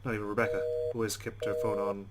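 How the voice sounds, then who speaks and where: A man's voice: fairly low pitch, little pitch movement, fast talker, heavy background noise. A man hosting a let's play, reading visual novel narration aloud.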